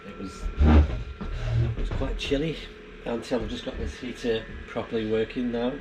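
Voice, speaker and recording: A man's voice: mid-range voice, measured pace. A man giving a casual, rambling vlog explanation, hesitant and thinking aloud as he goes. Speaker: amateur radio vlogger. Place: inside a barn. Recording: clear background noise in barn.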